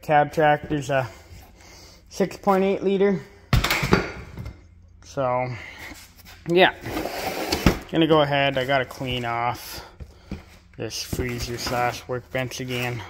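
A man's voice: medium pitch, a little hiss or hum. A young man vlogging from his farm workshop, narrating casually in a laid-back, rambling way with plenty of fillers. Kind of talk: casual narration while working.

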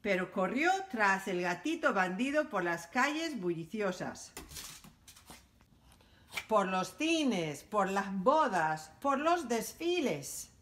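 A woman reading a children's picture book aloud for library storytime, in an animated, sing-song storytelling manner. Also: mid-range voice, slow, a little hiss or hum.

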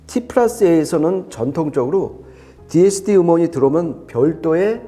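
A man's voice: a little high, lively intonation, moderate pace, mellow, soft background music. A man giving an unscripted technical product review in a conversational, explanatory and emphatic way. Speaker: audio equipment reviewer explaining specs.

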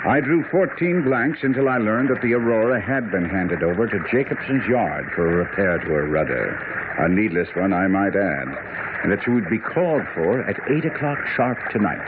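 An actor playing a self-assured, commanding detective in a radio drama, speaking precisely and with clipped authority. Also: male voice, fairly low pitch, expressive, normal speed, close to an everyday voice. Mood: cool, commanding. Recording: tinny, noisy old radio broadcast.

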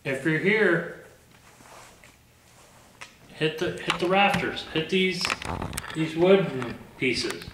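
A man's voice: a little high, animated, slow pace, long pauses. Middle aged guy doing a ghost investigation, talking to spirits, roomy echo, some hiss and noise. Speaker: paranormal investigator. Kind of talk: calling out to spirits, casual chat. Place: small dark room indoors. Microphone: camera mic across the room. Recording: echoey room, noticeable background noise.

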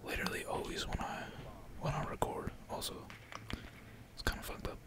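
Whispering man, casual gaming ASMR. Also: a little fast. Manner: slangy, swearing, casual. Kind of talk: whispered ASMR gameplay commentary. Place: indoors at home, at a computer. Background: keyboard typing.

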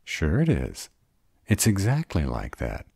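A man's voice: sits low, wildly swooping pitch, measured pace, gravelly, darkish tone, no echo, studio quality, full character voice. A man playing a sarcastic bad-boy vampire in an audio roleplay drama, drawling, guarded but devoted. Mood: hurt, guarded.

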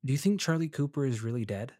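An actor playing a polite, measured freelance journalist who narrates his investigation in a fiction podcast. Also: medium pitch, animated, warm tone, no echo, clean studio sound, close to an everyday voice. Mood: polite, careful. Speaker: narrator and lead character.

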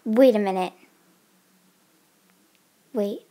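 A woman's voice: medium pitch, extremely slow, warm tone. A young woman voicing casual, dramatic girls planning a sleepover in an amateur doll movie. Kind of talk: amateur doll stop-motion voice acting.

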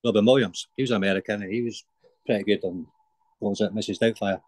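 A man's voice: lowish voice, steady tone, quick pace, darkish tone, no room sound. A man in his forties with an Edinburgh Scottish accent, a podcast guest giving brief, plain-spoken answers over a video call. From Scotland. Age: 40s.

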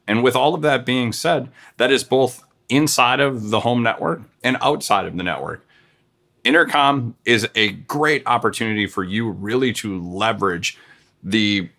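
A man's voice: medium pitch, bright, forceful tone. An American man in his forties presenting a product tutorial in an upbeat, informal way. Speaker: sales director presenting tutorial. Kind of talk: presenter talk to camera. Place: furnished living room of a house, indoors.

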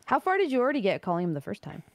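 A woman's voice: medium pitch, very animated, very fast, gravelly, no echo. A woman co-hosting a stream, chatting casually, sarcastic and often laughing. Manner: sarcastic, laughing, teasing back. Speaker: co-host on stream.

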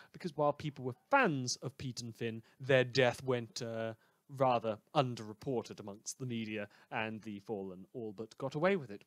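A man playing a morbidly amused radio host in a fan audio drama, rambling hesitantly with dark, wry mock concern. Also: mid-range voice, dry recording, lightly acted.

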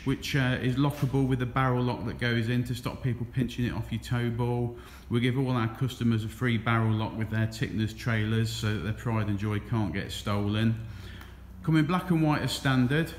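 A man who sells trailers, walking through a warehouse and filming with his phone as he talks casually, with frequent ums. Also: mid-range voice. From England. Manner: chatty, relaxed, with frequent ums. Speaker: trailer dealer. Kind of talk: walkaround product video. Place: large trailer warehouse, indoors. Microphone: handheld phone camera, filming while walking. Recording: echoey warehouse with faint hum.

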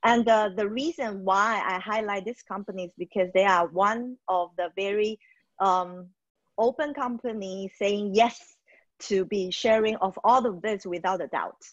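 A woman's voice: medium pitch, measured pace, no room sound. A woman from Taiwan presenting in a webinar over a video call, hesitant, with many fillers and restarts. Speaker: webinar speaker, HR trainer. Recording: dull, dry video call audio.

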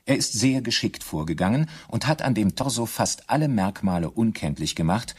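Casting: official report reader, lowish husky voice, matter-of-fact, precise, sober, radio drama. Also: male voice, lively intonation, normal speed, darkish tone. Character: sober male official reporting police findings. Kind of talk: formal reading of an official report.

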